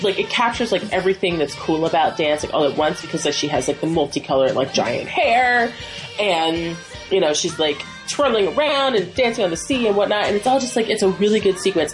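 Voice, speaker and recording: A woman's voice: on the low side, expressive, brisk, soft background music. A woman co-hosting a casual podcast who talks enthusiastically, gushing and filling her sentences with "like". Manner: enthusiastic, gushing, many "like" fillers. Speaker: podcast co-host. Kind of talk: casual chat.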